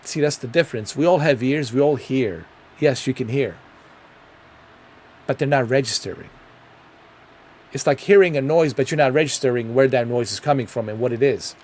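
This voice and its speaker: A man's voice: mid-range voice, normal speed, long pauses, a little mellow. A middle-aged man from Bosnia with a Bosnian accent, rambling through a casual gaming commentary vlog with many ums and repeats. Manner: rambling, repeats himself, frequent ums and uhs. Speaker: gaming video creator.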